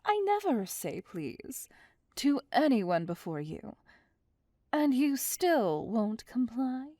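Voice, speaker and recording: A woman voicing a possessive, vain vampire mistress in an ASMR roleplay, breathy and often whispering, with condescending endearments. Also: fairly high pitch, very animated, warm tone, no room sound, studio quality, exaggerated voice. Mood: exasperated. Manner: slow, deliberate, condescending endearments. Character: possessive, vain female vampire, commanding and seductive. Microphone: close, dry microphone.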